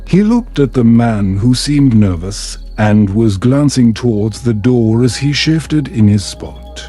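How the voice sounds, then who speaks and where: A man tells a bedtime story in a soothing, measured style. His voice is mellow and relaxed, with a medium pitch, and he takes his time over the scripted narration. Soft music plays behind him. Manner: soothing, measured, unhurried delivery.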